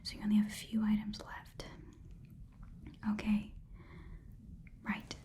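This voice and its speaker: A woman's voice: mid-range voice, completely flat delivery, unhurried, dark tone, lightly acted. A woman playing a chatty, nosy goblin pawn shop keeper in a whispered ASMR roleplay, rambling casually and muttering repeated words. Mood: friendly haggling.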